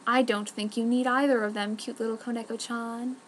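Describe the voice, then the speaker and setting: A woman's voice: on the high side, level tone, fairly quick, slight background noise, close to an everyday voice. A woman voicing a cruel, teasing alien male with fangs in a dramatic story reading, leering and menacing. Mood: coaxing.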